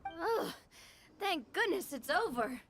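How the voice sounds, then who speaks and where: A woman's voice: very high voice, very animated, slow pace, a bit bright, slight background noise, full character voice. An actress playing a spirited, upbeat young lady adventurer in an anime video game. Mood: cheerful.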